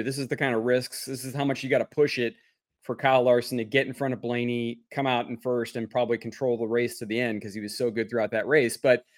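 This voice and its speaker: A man co-hosting a conversational sports discussion and setting up questions for analysis. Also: medium pitch. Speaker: podcast co-host.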